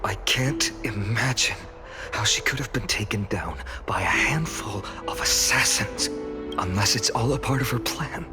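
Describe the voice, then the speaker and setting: A man's voice: fairly low pitch, a little slow, natural voice. A man voicing an earnest, analytical young adventurer in a video game, serious and reasoning, with music underneath. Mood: tense, pensive.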